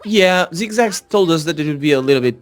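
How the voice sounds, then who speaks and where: A man's voice: fairly high pitch, animated, fairly quick. A man chatting casually as a co-op gamer, sometimes reading game text aloud. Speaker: gamer, co-op let's play commentator.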